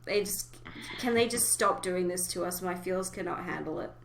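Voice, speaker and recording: A woman hosting a casual fan discussion, talking emotively with hesitant pauses as she thinks aloud. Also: on the low side, gravelly, noisy background. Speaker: podcast host.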